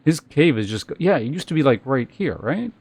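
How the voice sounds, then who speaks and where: A man's voice: mid-range voice, big pitch swings, a little fast, gravelly, darkish tone, a little hiss or hum. A man giving casual, rambling gameplay commentary as a streamer, thinking aloud as he plays. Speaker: game streamer.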